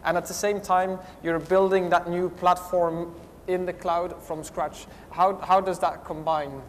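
Male moderator, slightly high, roomy hall. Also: little pitch movement, measured pace. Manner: conversational, repeats words when starting questions. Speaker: panel moderator. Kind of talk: moderating a conference panel. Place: large hotel conference hall. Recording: hall reverb with faint noise.

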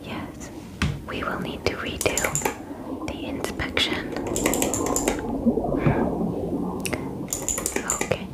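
A young woman voicing a gentle, clinical alien examiner in a breathy ASMR whisper, with halting, formal phrasing. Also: lightly acted. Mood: curious, puzzled. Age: young adult. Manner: slow, halting, formal phrasing without contractions. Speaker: ASMR roleplay performer. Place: small bedroom, indoors. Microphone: close microphone.

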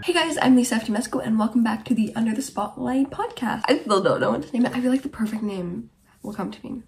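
Rambling, creaky-voiced young woman. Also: fairly high pitch, fast, music in the background. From Germany. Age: about 20. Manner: rambling, chatty, tangent-prone. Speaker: podcast host. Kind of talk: casual chat to camera. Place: small bedroom, indoors.

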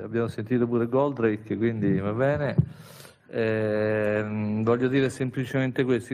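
A man, a regional official, speaking off the cuff at a press conference, rambling with many restarts and asides. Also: medium pitch. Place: large conference hall with an audience.

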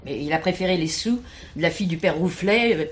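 Radio drama: actress as knowing, insinuating female witness, colloquial and indignant, slightly deep voice. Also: expressive, a little fast, natural voice. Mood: scornful, knowing. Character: plain-spoken working-class woman, gossipy, indignant witness. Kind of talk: French radio play acting.

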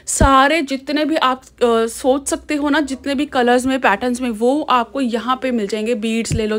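A young woman filming a chatty shopping vlog as she walks through a busy outdoor market, talking to the viewer through a handheld camera or phone. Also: high voice. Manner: chatty, keeps asking "ठीक है". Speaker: shopping vlogger.

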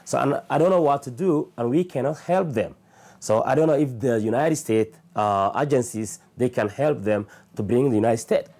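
A man in his thirties with a Somali accent, telling his own story haltingly and earnestly in an interview indoors on a lapel mic. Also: mid-range voice, dark tone. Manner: halting, plain and earnest, with many restarts. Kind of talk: personal testimony. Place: small living room, indoors.

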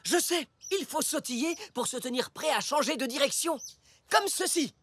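Animated, eager cartoon zebra dad. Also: male voice, extremely high pitch, moderate pace, very noisy. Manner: energetic, instructive, expressive. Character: eager zebra dad, gardener hunting moles. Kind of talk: children's animated cartoon acting.

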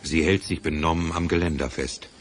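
A man's voice: very deep voice, fairly even intonation, fairly quick. A man as the sober narrator of a crime adventure audio drama, reading scripted scene descriptions. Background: music.

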